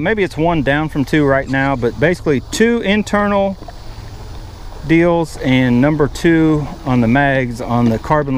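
A middle-aged man hosting a fishing vlog with casual, folksy, rambling running commentary. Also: medium pitch. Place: outdoors on a small fishing boat on open water. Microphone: action camera worn on chest or held at arm's length. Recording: outdoor boat noise, wind.

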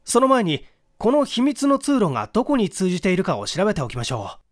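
Man playing an assured, animated amateur detective. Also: sits high, fast talker, no echo, clean studio sound. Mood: urgent, decisive. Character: confident male amateur private detective, polite and self-satisfied.

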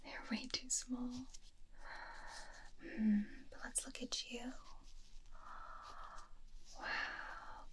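A young woman whispers at a very slow pace, right up close to a binaural mic. She plays a doting, playfully bossy superhero witch in an ASMR roleplay, tender and intimate with her husband. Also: fairly high pitch, level tone. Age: young adult. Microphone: close binaural ASMR microphone.

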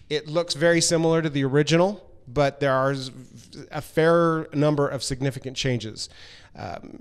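Clear-voiced middle-aged man presenting a product. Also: mid-range voice. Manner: halting, pausing mid-phrase. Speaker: company presenter explaining a product design. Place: large hard-walled workshop room, indoors. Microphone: handheld microphone held close.

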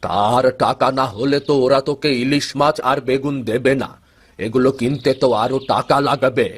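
A man playing a kindly, mysterious old beggar in a fairy-tale cartoon, explaining gently and patiently. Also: mid-range voice, moderate pace, slightly rough, close to an everyday voice. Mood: curious, patient.

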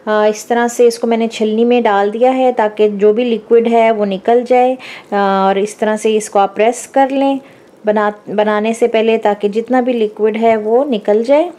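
Calm woman home cooking host, fairly high voice. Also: a little slow. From Pakistan. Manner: calm, explanatory, addresses viewers directly. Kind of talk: step-by-step cooking instruction. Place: home kitchen.

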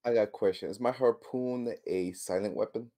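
A man's voice: on the low side, normal speed, warm tone. A man talking casually as a tabletop game player, hesitantly thinking his moves aloud.

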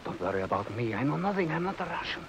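A quick, hushed helper, whispering urgent instructions; noisy old film sound. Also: male voice, on the high side, very animated.

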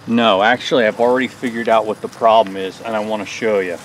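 A man's voice: fairly low pitch, fairly even intonation, quick pace, a little hiss or hum, rough recording. Folksy man explaining an engine repair outdoors. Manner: plain, folksy, step-by-step explaining. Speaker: small engine repair host. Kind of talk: hands-on repair tutorial. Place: outdoors on a lawn.

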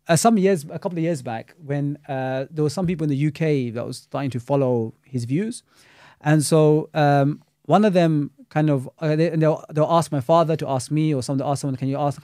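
A man, an Islamic scholar and teacher, talks at a quick clip in a relaxed, critical discussion. His voice sits a little high but sounds mellow and dark. The sound is dry and close, and he scatters Arabic religious terms as he goes. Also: dry recording. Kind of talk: casual podcast discussion.

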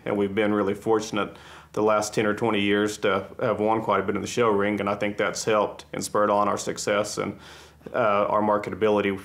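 A man's voice: on the low side, completely flat delivery, a little fast, creaky, a bit bright. A man in his thirties from Texas, a rancher, speaking in a modest, plainspoken way while seated indoors on a lapel mic. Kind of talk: interview. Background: background music.

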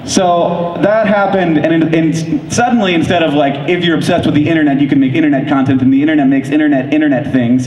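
Excited man on a big convention stage. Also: high voice, dull audio, slight background noise. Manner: fast, enthusiastic, filler-heavy with frequent likes. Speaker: keynote speaker. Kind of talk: conference keynote talk. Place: large convention hall stage. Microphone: handheld microphone. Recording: echoey hall from far off.

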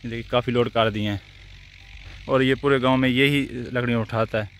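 A man's voice: medium pitch, steady tone, a little fast, fairly bright tone, slight background noise. A man in his thirties from Punjab, Pakistan, casually chatting to his viewers as he films himself in a farm field, pointing things out and laughing often. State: tired, out of breath after field work. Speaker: village vlogger. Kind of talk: casual chat, talking to viewers. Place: open farm field outdoors. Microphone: phone held at arm's length.

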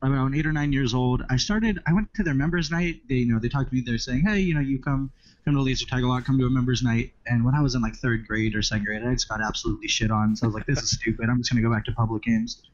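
A man's voice: mid-range voice, fast talker, dry recording. A man of twenty from Southern California, a podcast guest chatting casually and rambling with restarts. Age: 20s. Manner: rambling, restarts phrases, easygoing. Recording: dry, muffled lo-fi audio.

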